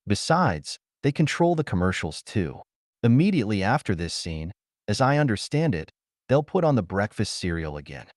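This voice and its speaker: An unflappable young man in an audiobook story, as a male narrator plays him. He is wry and nonchalant, with animated intonation and a dry, close sound. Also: on the low side, normal speed, no echo. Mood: wry, unbothered.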